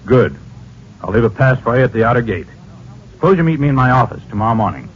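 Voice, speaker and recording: An American actor playing a grave, formal government agent in an old-time radio drama. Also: male voice, mid-range voice, very animated, natural voice. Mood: serious, official. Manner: formal, measured, official. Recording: muffled, noisy old radio recording.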